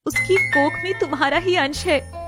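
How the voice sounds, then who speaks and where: A woman's voice: high-pitched, normal speed, loud music behind the voice, natural voice. A woman voicing an outspoken, scolding young female friend in an audio story.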